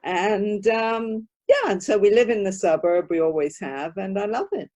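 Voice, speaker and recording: A woman's voice: mid-range voice, normal speed, warm tone. Older woman chatting on a video call. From born in northern England, raised in California. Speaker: guest, volunteer craft maker. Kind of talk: casual interview chat, recounting. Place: spare room used as a craft room at home.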